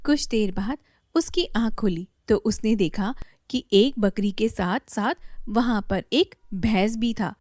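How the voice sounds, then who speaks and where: A woman's voice: medium pitch, takes it slowly, no room sound, studio quality. Woman telling a children's story. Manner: plain, steady storytelling. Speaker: narrator. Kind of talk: storybook narration.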